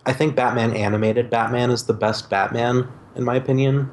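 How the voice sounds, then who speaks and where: A man at a middle pitch who joins a podcast chat in halting bursts. He stumbles over his openings before getting to his point. Faint noise runs beneath him. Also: fairly even intonation. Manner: hesitant, halting starts.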